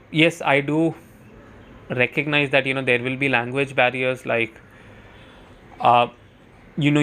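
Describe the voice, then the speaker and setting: A man's voice: medium pitch, sharp tone. Casual male game streamer, chatty commentary. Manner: chatty, thinking aloud. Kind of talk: casual live gameplay commentary.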